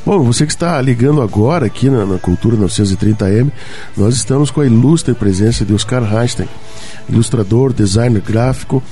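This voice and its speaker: A man's voice: mid-range voice, fairly quick, dark tone. A man hosting a radio talk show, asking a guest questions with chatty, enthusiastic interjections. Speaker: radio host. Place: radio studio.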